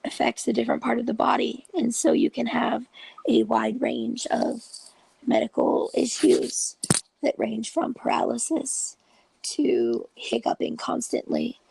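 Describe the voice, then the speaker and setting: A host with a cold and a raspy, breathy voice, explaining a topic slowly and patiently in a casual vlog, often dropping into half-whispers. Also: medium pitch, animated, darkish tone, a little hiss or hum, poor audio. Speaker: video host and patient support group leader.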